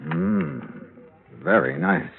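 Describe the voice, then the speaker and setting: An actor playing a breezy, wry insurance investigator, narrating and talking in a 1950s radio drama. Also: male voice, deep voice, very slow, vocal fry, a little hiss or hum, heavily acted. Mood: wry, amused. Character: breezy, wry, hard-boiled male freelance insurance investigator. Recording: thin, phone-like old radio recording.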